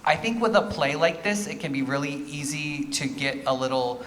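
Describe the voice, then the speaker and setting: Male adjudicator, encouraging and conversational, forceful bright tone, long room reverb. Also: on the high side, expressive, normal speed. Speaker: theatre competition judge. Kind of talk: spoken feedback to performers. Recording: reverberant hall, faint noise.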